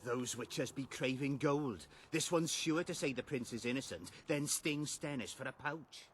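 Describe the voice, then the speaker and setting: An actor playing a resentful, plain-spoken peasant man in a video game, with rustic, rough speech. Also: medium pitch, measured pace, a little hiss or hum, lightly acted. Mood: grumbling. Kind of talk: video game dialogue acting.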